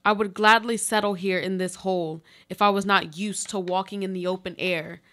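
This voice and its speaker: A woman playing a desperate, pleading earthquake victim buried alive, in a deliberate, literary radio play monologue. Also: mid-range voice, steady tone, a bit bright, close to an everyday voice. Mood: anguished pleading. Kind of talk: live radio play monologue, read from a script.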